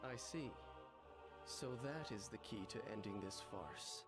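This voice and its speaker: An actor playing a cold, brooding half-vampire hero in a video game cutscene, terse and grave. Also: male voice, fairly low pitch, expressive, a little slow, over loud music.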